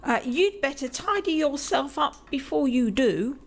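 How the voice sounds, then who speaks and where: An actress playing a sharp-tongued, wry servant woman in an audio play. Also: high voice, big pitch swings, a little slow, exaggerated voice. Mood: wry, brisk. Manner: tart, wry.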